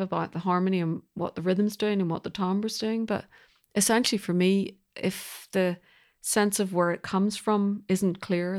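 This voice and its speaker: A woman with a Northern Irish accent talking reflectively in an interview, thoughtfully searching for words in short phrases. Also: fairly low pitch. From Northern Ireland. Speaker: composer being interviewed.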